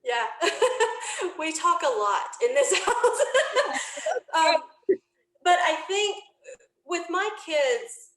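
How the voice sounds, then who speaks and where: A woman in her forties chatting casually as an interview guest, talkative and quick to laugh. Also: extremely high pitch. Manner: chatty, animated storytelling, laughs easily. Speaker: podcast creator, interview guest. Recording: roomy, echoing room sound.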